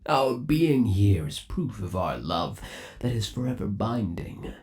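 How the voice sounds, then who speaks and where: A man acting as an obsessively devoted undead animatronic lover in an ASMR roleplay. His low voice moves slowly and swings widely in pitch. He coaxes theatrically, savoring every endearment with eerie tenderness. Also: expressive, darkish tone. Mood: tender, reassuring. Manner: theatrical, coaxing, lingering on endearments.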